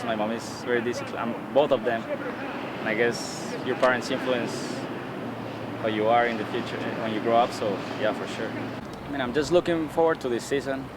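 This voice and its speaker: Young Colombian athlete, casual and halting, mid-pitched, street noise in the background. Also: male voice. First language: Spanish. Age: young adult. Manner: halting, with many restarts and fillers. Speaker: college tennis player. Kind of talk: casual interview answers.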